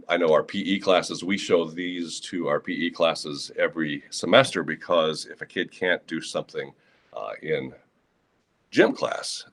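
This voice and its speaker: Low-voiced Midwestern man guiding teachers. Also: fairly bright tone. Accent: American Midwest. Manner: casual and practical, with frequent "uh" fillers and dry asides. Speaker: school librarian presenting to teachers. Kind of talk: live online teacher training walkthrough. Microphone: computer mic on a live video session.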